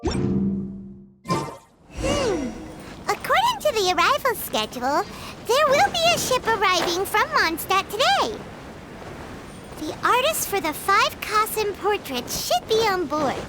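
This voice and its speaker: A woman voicing a tiny, excitable, chatty fairy companion in an anime video game, with a squeaky childlike voice and an exclamatory, cheerful way of talking. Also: takes it slowly, long pauses, heavy background noise, full character voice. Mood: cheerful, eager. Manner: exclamatory, speaks of herself in third person. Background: background music.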